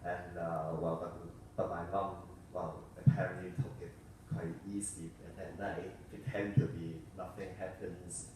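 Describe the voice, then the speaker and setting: A low-voiced man from Hong Kong tells his story on a community panel, stopping and correcting himself as he goes. His tone is dark and mellow, and he is heard from afar in a strongly echoing hall. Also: level tone, slight background noise. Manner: halting, self-correcting, laughs at himself. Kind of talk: panel discussion talk. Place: event hall. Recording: distant mic in an echoey hall.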